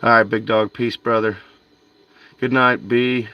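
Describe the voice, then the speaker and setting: A man's voice: medium pitch, level tone, unhurried, dry recording, muffled recording. A man hosting a fishing livestream at night. He chats loosely with his viewers, says "dude" and "man" a lot, and greets them by name. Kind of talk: casual livestream chat with viewers. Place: outdoors by a canal at night.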